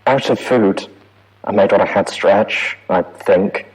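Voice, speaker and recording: A man's voice: on the low side, slow, husky, low-quality recording. A man voicing a frightened male prison staff pony, trapped and pleading, heard as a recorded audio log. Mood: weary, haunted. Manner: fearful, pleading, rising to panic. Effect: audio log playback.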